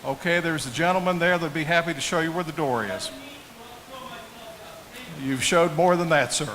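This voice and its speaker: A man with a halting, deliberate delivery, formally running a town council meeting as mayor. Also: fairly high pitch, a crowd in the background, poor audio. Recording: hissy public meeting room microphone.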